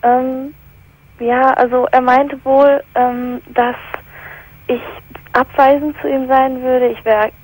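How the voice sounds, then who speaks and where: Hesitant teenage girl calling in, higher-pitched voice. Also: flat delivery, slow, a little mellow, rough recording. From England. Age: teens. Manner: hesitant, searching for words. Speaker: caller. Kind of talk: hesitant personal account on a call-in show.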